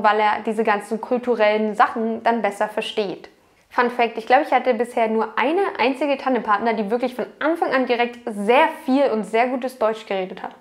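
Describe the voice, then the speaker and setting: Earnest young woman, fairly high voice. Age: young adult. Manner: chatty, earnest, addresses viewers directly. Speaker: vlogger. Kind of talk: advice vlog to camera. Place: small indoor room at home.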